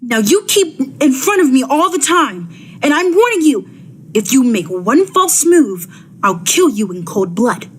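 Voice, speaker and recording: Young woman doing a scared suspicious heroine, old radio thriller, really high voice, melodramatic, bit of background noise. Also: big pitch swings, unhurried. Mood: cold, threatening. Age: young adult. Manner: anxious, melodramatic. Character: Geraldine, frightened, distrustful woman in peril. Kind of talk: 1940s radio thriller acting.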